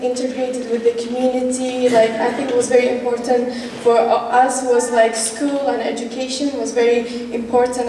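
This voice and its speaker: A young woman from northern Iraq, a Kurdish speaker, giving a measured, plainly worded public talk on a panel. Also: on the high side, slight background noise. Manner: even, measured, plain wording. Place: large conference hall. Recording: echoey hall from far off.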